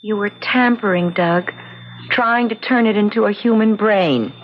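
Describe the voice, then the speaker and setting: An actress playing a caring, worried young bride-to-be who gently chides, in a radio drama. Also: female voice, mid-range voice, measured pace, close to an everyday voice. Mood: uneasy, chiding. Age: young adult. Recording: muffled, noisy old radio drama recording.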